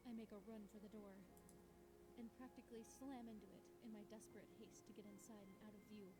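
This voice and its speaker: A woman's voice: mid-range voice, quick pace, over loud music, close to an everyday voice. A woman playing a tough, desperate young slum fugitive who narrates her own ordeal expressively in a visual novel game. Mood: tense, startled. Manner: expressive, tense delivery. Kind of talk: dramatic first-person visual novel narration.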